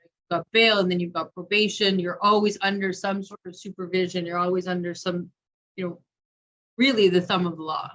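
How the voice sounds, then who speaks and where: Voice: mid-range voice, fairly even intonation, a little fast, a bit bright, muffled recording. An interview host who sums up the guest's points and asks follow-up questions.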